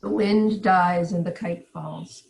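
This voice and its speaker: A woman's voice: on the low side, fairly even intonation, takes it slowly, creaky, a little mellow, low-quality recording. A woman reading stage directions as the narrator in scripted narration.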